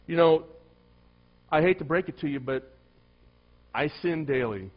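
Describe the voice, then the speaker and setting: A middle-aged male pastor with a soft voice, telling a story in a sermon and swinging between loud emphasis and hushed asides. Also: on the high side, expressive, measured pace, muffled recording.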